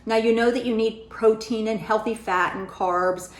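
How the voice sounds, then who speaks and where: A woman with a slightly raspy voice, still recovering from an illness, talks straight to her viewers in a friendly advice vlog. She speaks earnestly and comes back to her main points again and again. The echo of a bare room hangs around her voice. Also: a little high, steady tone, normal speed. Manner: chatty and earnest, repeats key points. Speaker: weight-loss vlogger. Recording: echoey room.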